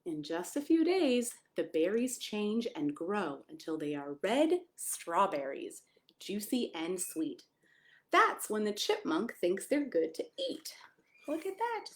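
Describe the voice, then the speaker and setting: An American woman reading a picture book to young children, adding chatty asides and simple questions for her listeners. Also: on the high side. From United States (Maryland). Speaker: pastor reading a children's story. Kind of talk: children's storytime read-aloud with chatty asides.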